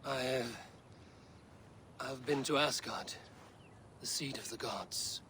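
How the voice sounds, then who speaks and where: An actor playing a solemn, intense Norse warrior in a cinematic video game, speaking in grave, deliberate, formal phrases. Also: male voice, mid-range voice, pushed and bright, a little hiss or hum. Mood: awed, solemn. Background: music.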